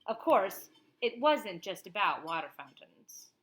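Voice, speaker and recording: A woman's voice: mid-range voice, very animated, a little slow, slight background noise. A woman teacher reading a picture book aloud expressively, with informal explanatory asides to children.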